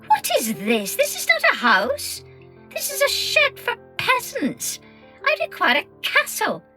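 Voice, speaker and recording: A woman's voice: extremely high pitch, wildly swooping pitch, soft background music, voice pushed up, exaggerated voice. A woman voicing a haughty, demanding male magpie in a children's story, with a screechy, imperious, complaining delivery. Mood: screeching outrage. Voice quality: bright, sharp, screechy. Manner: imperious, fussy, drawn-out complaining.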